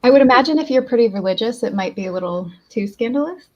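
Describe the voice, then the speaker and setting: A woman's voice: on the high side, expressive, fast, a little mellow. A woman on a livestream panel, chatting casually. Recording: dull lo-fi call audio.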